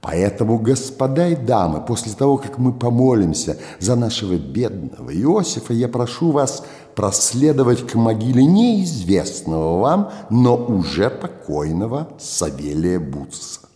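A man's voice: medium pitch, big pitch swings, measured pace, few pauses, warm tone, roomy sound, long reverb tail. An older man in his sixties voicing an Odessa gangster who gives a grand, declamatory speech at a graveside. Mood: solemn oratory. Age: 60s. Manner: rhetorical, declamatory oratory.

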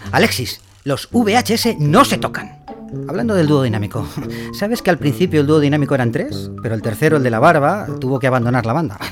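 Bossy, low-voiced, fast-talking boss. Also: female voice, expressive, music in the background. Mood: jokey. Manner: bossy, teasing, condescending. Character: bossy, stingy boss hoarding obsolete formats. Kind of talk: comic radio sketch acting.

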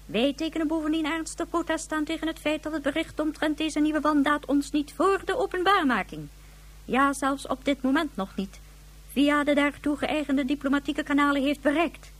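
A haughty, indignant Ganymede official, voiced by a woman, speaking formally in a high voice. The radio play frames the official as easily offended and quick to protest. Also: steady tone, lightly acted. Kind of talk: radio drama acting.

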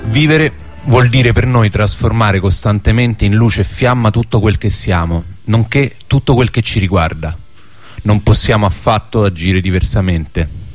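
Male voice for a literary quote: fairly low pitch, read text, light music underneath. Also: measured pace. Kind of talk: reading of a philosophical quotation.

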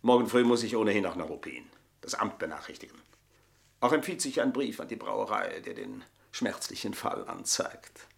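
A scheming servant in a radio play, croaky voice, super animated, oily polite and pompous. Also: male voice, lowish voice, normal speed. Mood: smug. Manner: pompous, mock-polite, savouring, theatrical. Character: scheming, gloating manservant, mock-deferential blackmailer and poisoner. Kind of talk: German radio play acting.

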